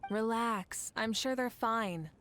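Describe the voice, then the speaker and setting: Composed, kindly fantasy leader. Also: female voice, on the high side, takes it slowly, a bit bright. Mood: reassuring. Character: calm, reassuring female leader in a fantasy game. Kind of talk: video game voice acting. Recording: game audio with music behind.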